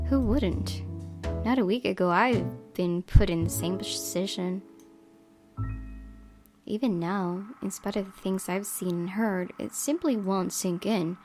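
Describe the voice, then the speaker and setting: A woman hosting a gaming video, reading visual novel narration aloud in a measured, dramatic way. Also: medium pitch. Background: game music.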